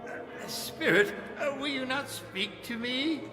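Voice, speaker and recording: An actor playing a fearful, repentant old miser in a stage radio play, stammering and pleading in theatrical tones. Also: male voice, very high-pitched, over music. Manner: halting, stammering, earnest and theatrical. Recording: echoing live hall stage recording.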